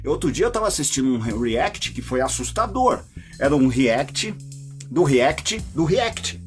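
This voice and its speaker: A Brazilian man hosting a podcast, delivering an opinionated monologue straight to his listeners and jumping into high mocking voices when he quotes people. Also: big pitch swings, a little slow, soft background music. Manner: addresses listeners directly as "my dear". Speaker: podcast host.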